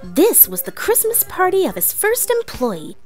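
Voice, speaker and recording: A woman's voice: extremely high pitch, wildly swooping pitch, unhurried, a little mellow, faint music behind, voice pushed up, heavily acted. A woman playing an earnest, theatrical narrator of a storybook read-along. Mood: dramatic, earnest. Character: earnest theatrical storyteller, comic author-narrator persona played female.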